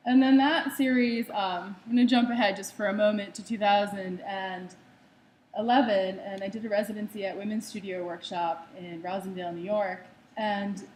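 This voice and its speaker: A young American woman walks an audience through her artwork slide by slide. Her voice sits at an ordinary middle pitch, and she keeps a loose, chatty delivery full of small fillers. A faint hiss of noise runs under the recording. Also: darkish tone, poor audio. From United States. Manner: conversational with frequent ums and uhs. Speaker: artist giving a talk. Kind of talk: slide presentation talk.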